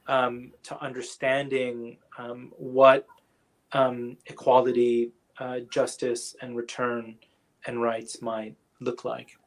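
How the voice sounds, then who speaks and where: A man answering audience questions in an online lecture, speaking thoughtfully with many fillers, pauses and restarts. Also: mid-range voice. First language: English. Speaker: guest lecturer.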